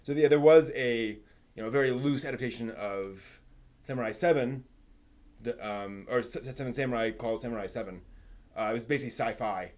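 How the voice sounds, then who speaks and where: A man hosting a casual live stream, thinking aloud in a halting, rephrasing way while giving emphatic opinions. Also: mid-range voice, darkish tone. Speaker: livestream host. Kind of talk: casual live-stream chat, answering viewers' comments.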